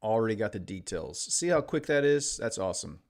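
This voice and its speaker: A man with a mostly Midwestern American accent and a slight Texan touch, reading viewer chat aloud and answering it in a relaxed live-stream way. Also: fairly low pitch, a little fast. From Illinois, later Texas. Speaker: day trader streaming live.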